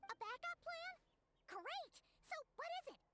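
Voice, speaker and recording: A woman voicing a tiny, chirpy, cheeky floating companion sprite in a video game, squeaky, childlike and exclamatory. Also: big pitch swings, measured pace, noisy background, full character voice. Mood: excited, curious. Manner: exclamatory, bubbly. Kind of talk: video game character acting. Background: game music.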